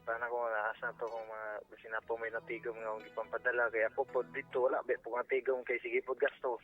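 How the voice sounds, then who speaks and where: A man with a Filipino accent calling in to a radio show, confiding casually in a halting, repetitive, deferential way. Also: mid-range voice, completely flat delivery. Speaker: phone-in caller. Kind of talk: casual personal confession on radio. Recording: thin phone line, music underneath.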